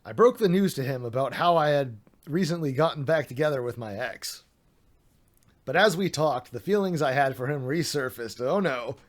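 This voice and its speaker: A man's voice: medium pitch, expressive, normal speed. A man streaming, reading a document aloud with sarcastic, mocking asides, his voice getting a little worn.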